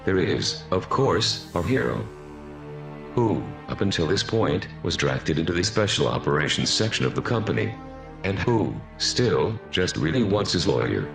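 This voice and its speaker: A man's voice: low voice, sing-song, moderate pace, gravelly, roomy sound, music in the background, heavily acted. A man narrating a scripted drama series, recapping in a storyteller's role.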